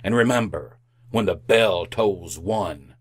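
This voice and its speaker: A man's voice: sits low, takes it slowly. An actor playing the mournful ghost of a dead businessman in an old-time radio drama, solemn, flowery and declamatory. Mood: grave warning.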